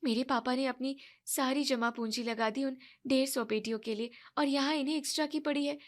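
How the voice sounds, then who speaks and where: A woman voicing an anxious young newlywed bride who speaks softly and sounds hurt. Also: high voice, fairly even intonation, fairly quick, close to an everyday voice. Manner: soft, hurt, pleading.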